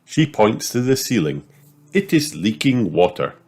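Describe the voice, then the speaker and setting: A man narrating a children's storybook in an expressive, deliberate read-aloud style. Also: lowish voice, slightly rough.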